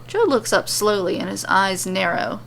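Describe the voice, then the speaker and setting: A woman's voice: medium pitch, normal speed, a bit bright. A woman narrating a serial fan-fiction story as its host. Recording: clearly noisy recording.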